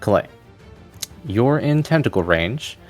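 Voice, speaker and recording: A man's voice: mid-range voice, lively intonation, slow pace, darkish tone, soft background music. A man narrating a tabletop roleplaying game as game master, in a casual, plain-spoken way.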